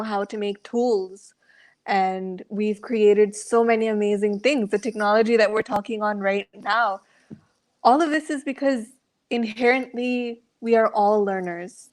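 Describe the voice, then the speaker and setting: A woman's voice: medium pitch, steady tone, a little slow. A woman from India giving thoughtful, articulate answers as a guest on an online panel, with rhetorical questions and the odd "right?". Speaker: panel guest speaking as a learner. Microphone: online video call.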